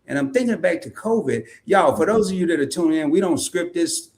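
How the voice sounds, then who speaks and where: Playful host guy, high voice, slangy, talks to the viewers a lot. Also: lively intonation, a little fast, darkish tone. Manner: playful, slangy, addresses the audience. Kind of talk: casual interview chat.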